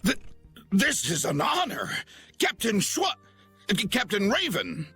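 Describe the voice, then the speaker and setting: An actor playing a bumbling, loyal young knight who talks in a stiff, formal, stammering way. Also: male voice, high voice, wildly swooping pitch, takes it slowly, vocal fry, pushed and bright, slightly rough, heavily acted. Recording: game music underneath.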